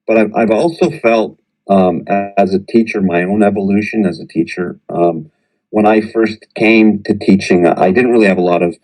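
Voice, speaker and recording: A middle-aged man, a professor who teaches English, talking through his teaching experience in a relaxed podcast chat, often pausing and restarting his sentences. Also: lowish voice, soft background music, low-quality recording. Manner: reflective, hesitant, many restarts and fillers. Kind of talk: conversational podcast interview.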